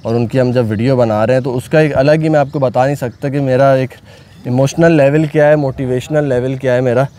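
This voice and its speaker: A young man with a Pakistani Urdu accent talks from behind the camera in an outdoor farm yard. His voice sits at a middle pitch, and he speaks with eager, proud enthusiasm, often repeating blessings. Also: fairly even intonation, fairly quick, dark tone. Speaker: cattle farm owner. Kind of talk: casual vlog narration.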